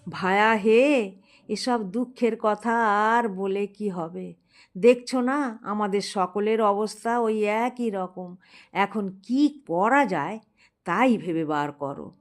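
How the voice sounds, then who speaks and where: Woman reading as struggling town doctor, slow and plaintive. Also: medium pitch, close to an everyday voice. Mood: resigned, advising. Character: struggling male town doctors, aggrieved, broke, complaining to colleagues.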